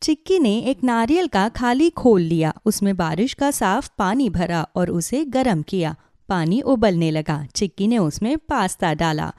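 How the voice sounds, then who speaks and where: Mellow, expressive woman storyteller. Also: mid-range voice, unhurried, hardly any pauses, no room sound, clean studio sound. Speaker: narrator. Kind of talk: scripted children's story narration.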